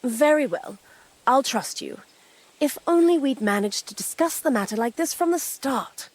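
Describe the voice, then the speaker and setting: A fed up noble lady in a video game, dry and bossy, pitch all over the place. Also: animated, normal speed, poor audio. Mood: dry, dismissive. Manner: imperious, dry. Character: haughty, exasperated noblewoman. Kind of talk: video game period drama acting.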